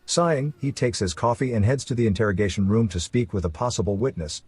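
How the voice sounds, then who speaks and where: A man's voice: on the low side, fast talker, a little mellow. A man narrating a suspense story from a script as the narrator of an audio drama. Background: faint music.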